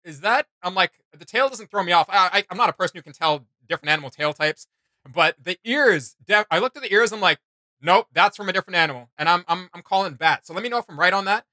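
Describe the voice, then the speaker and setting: A man's voice: high voice, animated, fast talker, bright, forceful tone, no echo. A man in his thirties chatting casually and emphatically as an enthusiastic host of reaction videos. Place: small home room with computer desks, indoors.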